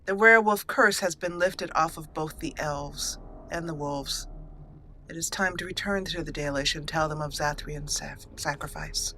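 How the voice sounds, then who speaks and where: A woman giving roleplay let's play commentary as a gaming host, with theatrical, deliberate phrasing. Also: low voice, pushed and bright, slight background noise.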